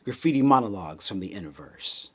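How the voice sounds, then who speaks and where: A man performing spoken word poetry with a hip-hop cadence, rhythmic and emphatic, pausing deliberately between phrases. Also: fairly low pitch, animated. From United States city.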